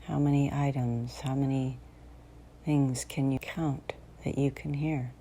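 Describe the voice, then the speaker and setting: A woman leading a guided meditation in a soothing, unhurried manner with long pauses, her soft voice sometimes dropping to near a whisper. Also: rumbling low, fairly even intonation. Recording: faint background noise and ambience.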